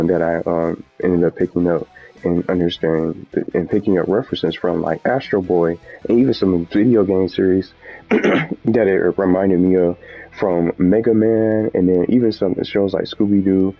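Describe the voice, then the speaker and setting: Low, gravelly-voiced man rambling over music. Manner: rambling, repetitive, self-correcting. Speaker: gaming video host. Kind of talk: casual unscripted video commentary. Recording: muffled audio with background music.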